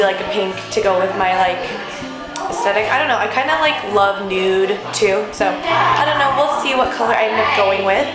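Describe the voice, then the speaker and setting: A woman's voice: mid-range voice, poor audio. A woman in her twenties chatting informally as a vlogger, casually narrating and peppering her sentences with "like". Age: 20s. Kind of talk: casual vlog chat and narration.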